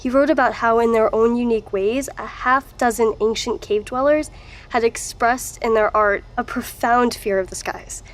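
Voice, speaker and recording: An actress playing a wry, matter-of-fact researcher and archivist in an audio drama. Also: female voice, on the high side, normal speed, natural voice.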